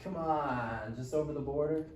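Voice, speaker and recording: A streetwise teenage boy, read by a man, pushy and blunt. The middle-pitched voice carries from a distance through an echoey room with faint noise at a table read. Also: normal speed, a little mellow, muffled recording, close to an everyday voice. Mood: persuasive. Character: pushy, streetwise seventeen-year-old boy. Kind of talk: live table read of comedy script.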